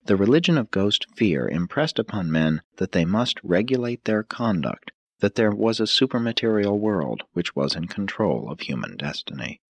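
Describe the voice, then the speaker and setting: A man narrating an audiobook from a script in a formal, measured manner. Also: sits low, husky, dry recording.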